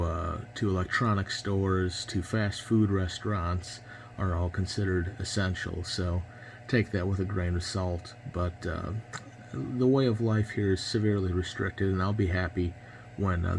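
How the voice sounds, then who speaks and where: Low-voiced young American man on ham radio. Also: vocal fry, slight background noise. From United States. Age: 20s. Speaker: amateur radio operator. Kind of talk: casual ham radio conversation.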